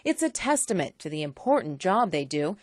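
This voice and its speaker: A woman's voice: lowish voice, very animated, a little fast. A woman reading scripted documentary narration as the narrator of a local news-style segment.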